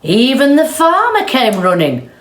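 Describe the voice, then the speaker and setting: A woman reading a children's storybook aloud with expressive, deliberate delivery. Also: high voice. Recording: roomy, echoey sound.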